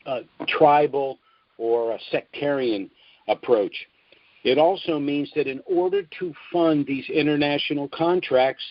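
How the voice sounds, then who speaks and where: A man hosting a conference call, speaking in a measured, formal way with frequent filler pauses. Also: medium pitch, no echo, highs cut off. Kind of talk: scripted briefing on a call.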